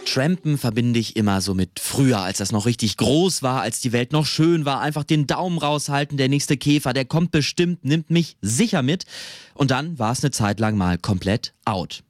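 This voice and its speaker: A man's voice: mid-range voice, lively intonation, normal speed, no echo. Male radio presenter, dry close sound. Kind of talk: casual scripted radio introduction.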